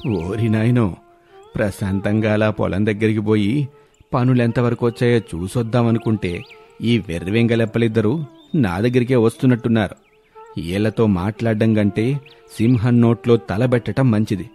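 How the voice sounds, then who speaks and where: A man's voice: lowish voice, moderate pace, warm tone, faint music behind, natural voice. A man voicing an irritable village elder who is worn out by two fools. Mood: exasperated. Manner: exasperated, grumbling.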